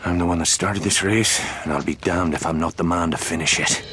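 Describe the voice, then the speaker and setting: An actor playing a stubborn, gruff Irish saboteur in a video game, blunt and informal in his delivery. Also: sits low, steady tone, fairly quick, over music, lightly acted. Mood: stubborn, determined. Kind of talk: dramatic video game acting.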